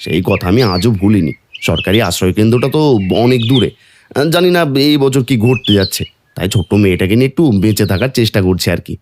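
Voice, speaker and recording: A lowish, fast male cartoon voice, acted by a man, as a hardworking village dad. He sounds earnest and careworn, a little wry. Clear noise runs behind him. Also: expressive, lightly acted. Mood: worried. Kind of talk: Bengali moral-story cartoon dubbing.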